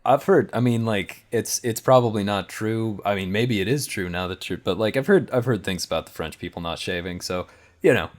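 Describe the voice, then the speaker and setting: A man's voice: on the low side, fairly quick, natural voice. A man voicing an enthusiastic, naive young male tourist in a tabletop horror-comedy game. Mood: casual, earnest. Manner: excitable, naive. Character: enthusiastic, puppy-dog eager young male American tourist.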